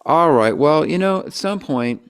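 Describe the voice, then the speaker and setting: Gravelly-voiced man hosting a podcast. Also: on the high side, animated, measured pace. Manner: playful, riffs on jokes. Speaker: podcast host. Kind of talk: casual interview chat.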